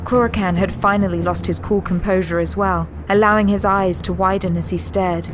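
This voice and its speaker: A woman's voice: medium pitch, fairly even intonation, moderate pace. A woman reading scripted, dramatic story narration for a sci-fi audio drama. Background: music.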